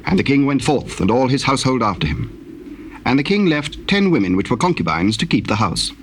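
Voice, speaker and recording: A man's voice: medium pitch, very animated. Solemn British male scripture narrator. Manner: formal, measured storytelling. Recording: noisy old cassette tape with ambience.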